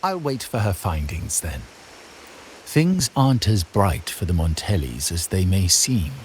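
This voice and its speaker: A man's voice: low voice, sing-song, a little hiss or hum. An actor playing a courtly, scheming middle-aged nobleman, speaking formally and pompously in a video game. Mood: grave, guarded. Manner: formal, pompous, deliberate diction. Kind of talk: dramatic video game dialogue. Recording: music faintly behind the voice.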